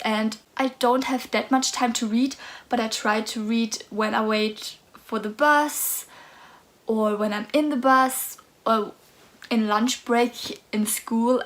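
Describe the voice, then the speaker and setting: A woman in her twenties from Austria, with a German accent, talking nervously and hesitantly in a casual book vlog. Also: fairly high pitch. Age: 20s. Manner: nervous, hesitant, soft-spoken. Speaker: book vlogger.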